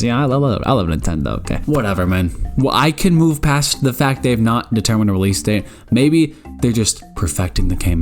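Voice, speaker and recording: Young man around nineteen, casual gaming commentator, rambling and stammering, mid-range voice, light music under him. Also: mid-range voice. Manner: rambling, stammering restarts, lots of filler asides; animated, joking, exclaims "bro" and "baby".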